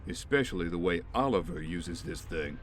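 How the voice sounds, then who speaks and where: A man playing a gruff, good-humored stand-in vigilante archer in an audio drama, with dry, bantering delivery. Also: sits low, animated, normal speed, slight background noise. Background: music.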